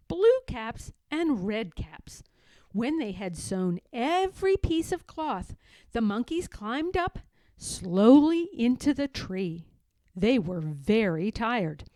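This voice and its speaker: A woman reading a children's story aloud in a dramatic, deliberate way, stressing key words. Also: a little high, dark tone, no room sound. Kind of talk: children's read-aloud narration.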